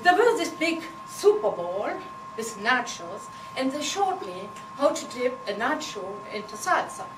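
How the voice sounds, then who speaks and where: A woman's voice: sits high, sing-song, measured pace. German-accented woman giving a comic speech. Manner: playful, teasing, non-native phrasing. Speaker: contest speaker. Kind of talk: humorous contest speech. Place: meeting hall with audience. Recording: echoey hall from far off, noisy.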